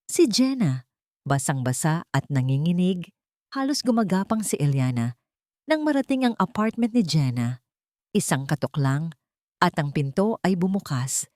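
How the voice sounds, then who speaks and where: Woman storyteller, lower pitch, dramatic scripted narration, dry studio-like sound. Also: sing-song, moderate pace, a little mellow, dry recording.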